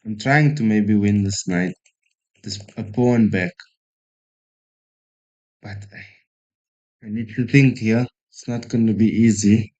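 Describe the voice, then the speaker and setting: A man's voice: fairly low pitch, fairly even intonation, slow, long pauses, a little mellow, dull audio. A man from South Africa streaming chess, thinking aloud and chatting casually with viewers in a self-deprecating way. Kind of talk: casual live-stream commentary.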